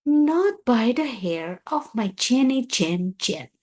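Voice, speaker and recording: A woman's voice: on the high side, sing-song, slow, a little mellow, heavily acted. A woman voicing a defiant little pig crying out in a children's storybook read-aloud.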